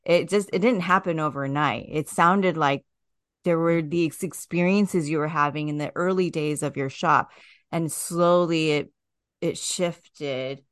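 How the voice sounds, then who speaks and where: Host: woman with a low voice, dry close sound, warmly curious interviewer. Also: steady tone, a little slow, no room sound. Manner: encouraging, lots of affirming murmurs and curious follow-up questions. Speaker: podcast host. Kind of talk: casual interview conversation.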